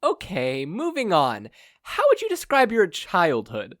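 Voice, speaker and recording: Audio story role, male reader: captive mother, courteous but unimpressed, raised pitch, dry close sound. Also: huge pitch swings, measured pace, fairly bright tone, no echo, exaggerated voice. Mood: dry, patient. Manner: courteous, questioning, dryly unimpressed. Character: polite, skeptical kidnapped mother, unimpressed and inquisitive.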